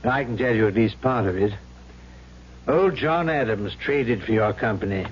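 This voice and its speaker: A grave, formal missionary priest played by a male actor speaks in solemn, measured phrases, in vintage radio drama style. The sound is dull, with a faint hum behind him. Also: lowish voice, lively intonation, natural voice. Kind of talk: old-time radio drama acting.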